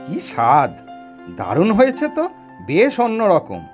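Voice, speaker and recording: A woman's voice: lowish voice, wildly swooping pitch, a little slow. A woman voicing a genial, food-loving older uncle in a family cartoon, effusive and given to savouring exclamations. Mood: delighted. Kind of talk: animated family cartoon dialogue. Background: soft background music.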